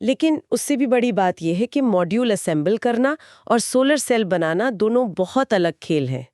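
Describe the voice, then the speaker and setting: A woman's voice: a little high, expressive, moderate pace, darkish tone, no echo, studio quality. A woman with an Indian accent in a conversational explainer podcast, speaking in an assured, explanatory way as co-host. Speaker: co-host explaining company financials.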